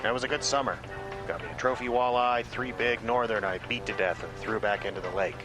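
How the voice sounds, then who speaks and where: An actor playing a grumpy middle-aged bait shop owner in a comedy radio play ad, grumbling and cranky. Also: male voice, mid-range voice, fairly quick, music in the background, natural voice, low-quality recording.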